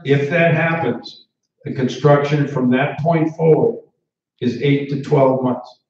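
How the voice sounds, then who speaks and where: A man's voice: medium pitch, steady tone, takes it slowly, a little mellow. A man presenting at a town hall meeting, taking questions and pressing on firmly with repeats to hold the floor. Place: large indoor meeting hall. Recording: echoey hall, distant, dull sound.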